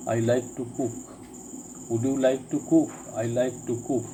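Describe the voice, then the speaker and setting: A man teaching a spoken lesson, reading each drill sentence twice with deliberate care. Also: mid-range voice, flat delivery, warm tone, slight background noise. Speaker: English teacher. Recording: crickets and insects chirping behind.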